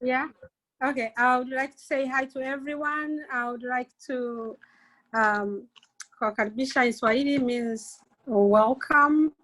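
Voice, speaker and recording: A woman's voice: high-pitched, steady tone, measured pace, a bit bright, low-quality recording. An older woman, the host's mother, giving a plain, brief welcome on a video call.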